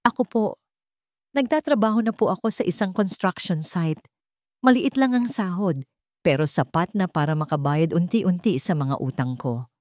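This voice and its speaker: A woman voicing a humbled grown son who speaks softly and politely, his voice breaking with tears, in a dry storybook narration. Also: lowish voice, normal speed, no echo, close to an everyday voice. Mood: humble, ashamed. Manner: soft, quiet and polite.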